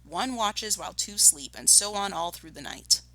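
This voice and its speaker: Woman reading as bossy scheming farmer, bright voice. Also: medium pitch, expressive, moderate pace, poor audio. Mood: commanding. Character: scheming, smug male farmer Bean giving orders.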